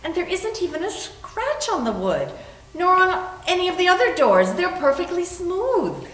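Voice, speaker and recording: High-pitched frightened woman in a haunted house, acted by a woman. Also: sing-song, normal speed, a bit bright, exaggerated voice. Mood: bewildered, shaken. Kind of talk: dramatic readers theater. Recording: echoey room, distant mic.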